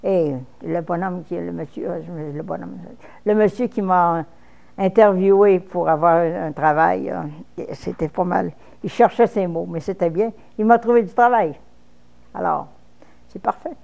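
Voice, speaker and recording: A woman's voice: fairly low pitch, a little slow, creaky, a little mellow, dull audio. A woman in her fifties from Quebec with a Québécois French accent, speaking frankly in a personal interview, with false starts. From Quebec, Canada.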